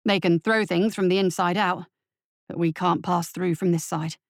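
A pragmatic male deputy voiced by a woman reader in a fantasy audiobook. He speaks in a lowered, dry voice, grim and deferential, reporting in a matter-of-fact way. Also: fairly even intonation, normal speed, vocal fry, dry recording. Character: dutiful, pragmatic male police deputy reporting to his captain.

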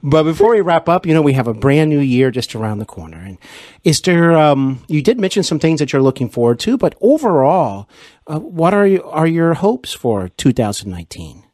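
A man's voice: on the high side, very animated, moderate pace, a little mellow, no room sound, clean studio sound. A man hosting a conversational interview in an effusive, encouraging way. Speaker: podcast interview host.

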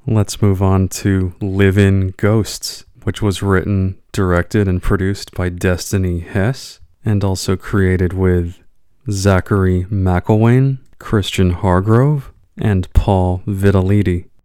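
A man's voice: low voice, steady tone, takes it slowly, mellow, clean tone, dry recording. A man hosting a podcast, reading scripted introductions in a dry, close recording. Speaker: podcast host.

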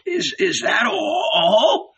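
A man's voice: high voice, expressive, creaky, bright, forceful tone, husky, pitched above the performer's own voice, full character voice. A man voicing a frightened old rich man, trembling and stammering, pleading reverently in a storybook reading. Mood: desperate, tearful. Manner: stammering, halting.

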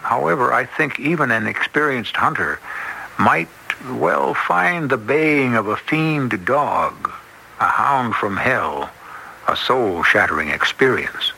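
A man hosting a radio drama with scripted, measured, suspenseful narration. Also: medium pitch, lively intonation, creaky, pushed and bright, a little hiss or hum, low-quality recording. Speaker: host introducing a story.

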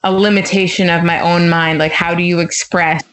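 A woman's voice: on the low side, little pitch movement, normal speed, fairly bright tone. A woman in her twenties, a podcast guest, talking in a hesitant way with many fillers as she searches for words. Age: 20s. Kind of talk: casual conversation.

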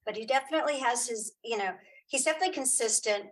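A middle-aged woman chatting casually as an interview guest, telling anecdotes in an animated, emphatic way and searching aloud for names. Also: fairly high pitch, fast, rough recording. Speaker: interview guest, writer and director.

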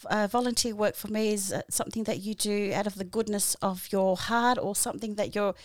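A woman's voice: mid-range voice, fairly even intonation, brisk, dry recording. A woman in her forties with an Australian accent, a podcast guest talking about her volunteer work, with many fillers and restarts. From New Zealand. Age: 40s. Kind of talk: casual interview answers.

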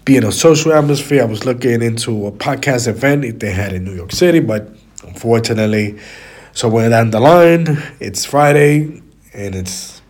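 A man with a raspy, stuffy voice from a cold, talking casually and in a rambling way as a solo podcast host, repeating phrases for emphasis. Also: mid-range voice, moderate pace, a little mellow. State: stuffy, slightly hoarse from a cold.

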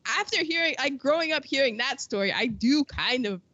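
A woman's voice: sits high, expressive, brisk, sharp tone, dry recording. A woman chatting casually as a podcast co-host, giggly and jumping between tangents. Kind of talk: casual chat. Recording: dull lo-fi sound.

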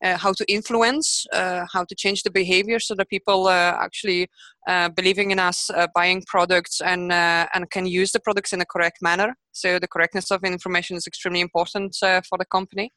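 Female interview guest, bright forward voice, explanatory but halting, dry sound. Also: lowish voice, flat delivery, dry recording. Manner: halting, hesitant phrasing with very frequent pauses. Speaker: podcast guest, project manager.

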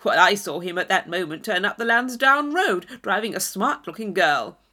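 A woman narrator puts on a brash young gentleman for a classic storybook. He blusters and boasts, pushing every point loudly and emphatically, with very lively swings of pitch. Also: normal speed. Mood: pushy, emphatic. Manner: forceful, emphatic, exclamatory.

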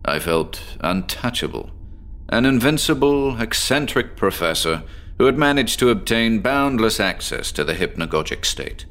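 A man playing an eccentric, sleepless professor who confides his obsession in a measured, articulate, formal way, as a horror audio drama monologue. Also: deep voice, fairly bright tone. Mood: confiding, intense. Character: eccentric male insomniac professor, obsessive, confiding, recounting strange experiments.

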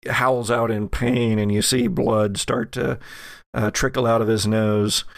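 A man acting as game master, narrating an improvised horror tabletop game in a halting, suspense-building way. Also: medium pitch, vocal fry, no echo, clean studio sound. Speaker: game master of an actual-play podcast.

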